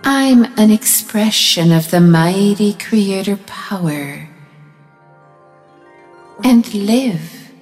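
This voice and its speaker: A woman voicing a vast, calm wind spirit in a storybook, speaking in a slow, solemn chant. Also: medium pitch, big-room sound, soft background music.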